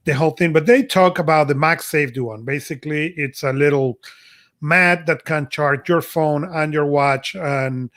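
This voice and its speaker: A man presents gadget news on a podcast, eager and a little halting. His English is slightly broken. His voice sits fairly high for a man. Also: fairly bright tone. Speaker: podcast co-host. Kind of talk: casual tech news chat.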